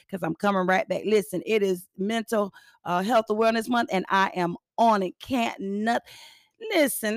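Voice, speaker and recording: Hoarse, gravelly woman podcast host. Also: medium pitch, dry recording, studio quality. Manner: preachy, repeats phrases for emphasis. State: hoarse, raspy from singing. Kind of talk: upbeat motivational podcast talk.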